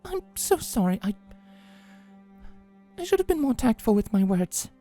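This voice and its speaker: Man voicing an elegant high-pitched female pony. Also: sing-song, unhurried, dark tone, soft background music, heavily acted. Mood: apologetic. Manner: genteel, fond of saying darling. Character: elegant, caring young female pony, refined and gracious.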